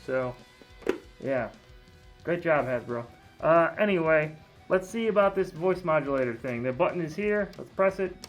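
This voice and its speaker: A slightly high-voiced man chats through a casual hands-on review. He is candid and a little grumbly about what bothers him. Faint music plays behind him. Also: lively intonation, normal speed. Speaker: toy reviewer. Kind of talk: casual unboxing and review talk.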